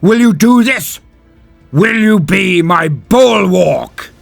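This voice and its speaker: A man voicing a valiant space marine champion in a sci-fi audiobook, giving a solemn, rousing battle speech in a grand, drawn-out, declamatory way. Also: fairly high pitch, animated, creaky, a bit bright, dry recording, faint music behind, pitched above the performer's own voice, exaggerated voice. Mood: solemn, rallying. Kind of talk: dramatic audiobook character speech.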